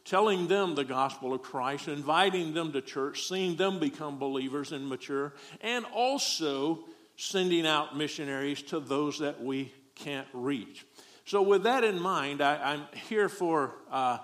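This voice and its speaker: A man's voice: on the high side, a little slow, a bit bright. A middle-aged man from Texas with a Southern accent, preaching a folksy, joking sermon as a guest preacher.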